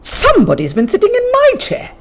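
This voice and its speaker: A woman's voice: wildly swooping pitch, brisk, heavily acted. A woman voicing a middle-sized storybook bear who cries out in a middle-sized voice. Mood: fretful. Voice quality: crying, middle-pitched voice.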